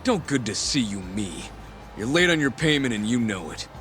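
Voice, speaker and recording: An actor playing an aggressive, foul-mouthed yakuza thug in a video game, with a snarling, threatening manner. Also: male voice, medium pitch, big pitch swings, normal speed, fairly bright tone, faint music behind. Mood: stern, scolding.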